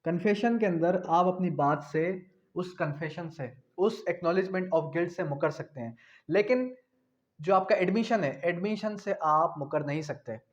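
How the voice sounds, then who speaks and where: Male lecturer, Pakistani accent, fairly high voice, very measured didactic delivery with repeated phrases, muffled lo-fi recording. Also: animated. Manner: didactic, repetitive, posing questions and answering them. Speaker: law lecturer. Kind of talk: explanatory lecture with slow dictation of definitions.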